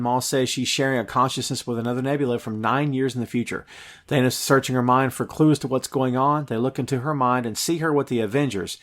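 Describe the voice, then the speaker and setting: A male podcast host with a medium-pitched voice gives an enthusiastic film recap. He tells it conversationally and emphatically, slipping into quoted dialogue as he goes.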